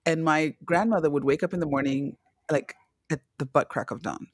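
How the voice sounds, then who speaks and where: A woman's voice: low-pitched, fairly even intonation, a little fast, dry recording, clean studio sound. A woman from Zimbabwe, hosting a podcast interview in a chatty, affectionate way. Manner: chatty, affectionate, reads intro aloud. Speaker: podcast host.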